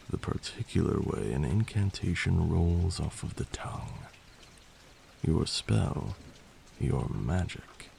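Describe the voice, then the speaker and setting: A man playing a patient, stern vampire lord who teaches magic in a measured, formal ASMR roleplay. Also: a bass voice, vocal fry, a little mellow, slight background noise, exaggerated voice.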